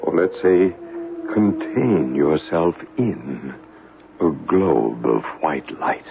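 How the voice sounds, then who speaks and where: An actor playing a male psychic who guides a séance in slow, hushed tones and narrates the story, in a 1970s radio drama. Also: extremely low pitch, lively intonation, vocal fry, exaggerated voice. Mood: soothing. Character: calm, soothing male psychic guiding a séance. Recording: narrow, hissy old radio broadcast.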